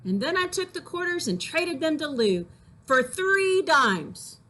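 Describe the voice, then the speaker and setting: A woman's voice: sits high, very animated, measured pace, a bit bright, pitched above the performer's own voice, full character voice. A woman voicing a naive, boastful young boy in an emphatic children's poem reading. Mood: naive boasting. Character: foolish, proud young boy boasting of bad trades.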